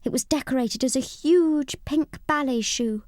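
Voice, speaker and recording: A woman narrating a children's storybook read-along in an expressive, scripted style. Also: high-pitched, unhurried, no room sound, studio quality.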